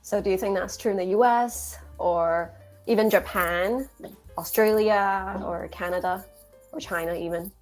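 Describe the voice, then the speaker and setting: A woman's voice: mid-range voice, a little slow, soft background music. A woman learning English, asking questions conversationally in an online class. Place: online video call.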